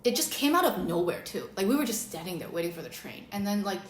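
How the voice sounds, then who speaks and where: A woman with a deep voice, chatting casually and telling a story with lots of fillers as a co-host. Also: big pitch swings, fast talker, echoey room, a little hiss or hum. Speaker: co-host of a beauty channel talk. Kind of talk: casual chat.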